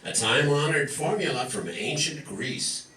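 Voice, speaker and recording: Distant roomy recording with music, male announcer, animated swooping delivery, jovial, joking master of ceremonies. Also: mid-range voice, normal speed. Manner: joking, chuckling. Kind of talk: jovial live show announcing with sponsor reads.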